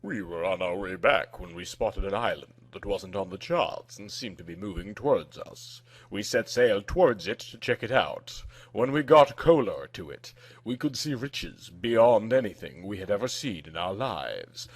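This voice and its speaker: A man's voice: low-pitched, animated, unhurried, no room sound, pitched below the performer's own voice. A man voicing a grim Viking first mate, speaking in a grave, subdued way as a battle survivor in a story. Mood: grim, weary.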